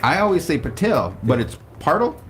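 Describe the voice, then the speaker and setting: A man's voice: on the high side, sing-song, normal speed. A man hosting a casual radio interview, asking curious questions and giving short friendly affirmations. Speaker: radio host. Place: radio studio.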